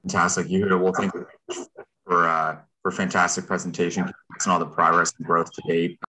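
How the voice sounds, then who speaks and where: A man in his thirties with a deep but bright, forward voice. He hosts an informal interview on a video call and puts his questions in a casual, conversational way. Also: level tone, a little fast, low-quality recording. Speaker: host questioning a presenter.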